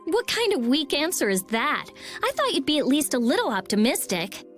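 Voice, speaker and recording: A woman voicing a prickly, sharp-tongued teenage girl in a video game, with snappy, sarcastic delivery. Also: high voice, big pitch swings, normal speed, soft background music.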